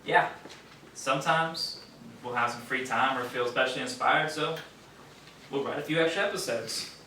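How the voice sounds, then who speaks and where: A man's voice: mid-range voice, moderate pace, bright, forceful tone, close to an everyday voice. A man playing a self-assured radio drama writer in a stage play, casual and slightly patronizing. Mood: encouraging, matter-of-fact. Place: theater stage, distant mic. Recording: very echoey stage, distant, noisy.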